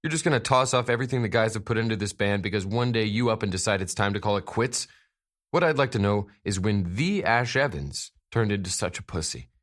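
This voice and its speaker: A man's voice: fairly low pitch, animated, a little fast, fairly bright tone, pitched above the performer's own voice. A man voicing an angry male bandmate who makes demands and sounds incredulous.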